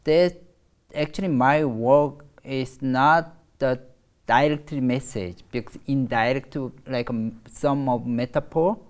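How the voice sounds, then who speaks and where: Korean-accented woman, middle-aged, very low voice, slow halting delivery, interview guest speaking in her second language. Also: a little mellow. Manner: halting, many fillers and restarts. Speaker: artist guest. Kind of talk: interview in English.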